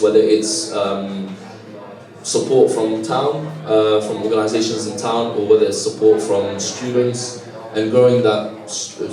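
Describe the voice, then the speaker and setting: A young man who grew up in Lebanon, answering interview questions in a halting, earnest way with many fillers. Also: lowish voice, recorded from a distance. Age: young adult. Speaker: student organiser. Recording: echoey room, voice from off mic.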